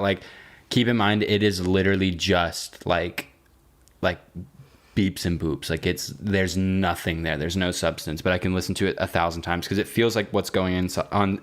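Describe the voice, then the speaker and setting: A man's voice: deep voice, little pitch movement, moderate pace. A man with an American accent chatting casually as a comedic podcast co-host, emphatic, slangy and sweary.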